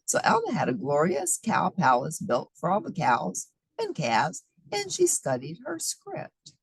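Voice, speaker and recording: Breathy woman reading a picture book aloud. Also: low voice, unhurried, no echo. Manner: expressive, with playful asides. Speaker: storybook reader.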